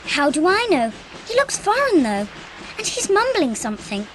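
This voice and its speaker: A woman's voice: extremely high pitch, big pitch swings, normal speed, a bit bright, slight background noise, heavily acted, low-quality recording. A woman playing a compassionate, earnest English schoolgirl in an audio drama, pleading and sincere. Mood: concerned.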